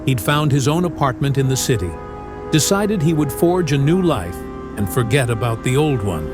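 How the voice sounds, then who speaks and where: A man's voice: mid-range voice, lively intonation, normal speed. A man narrating an audio drama, reading scripted story prose in a dramatic style. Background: music.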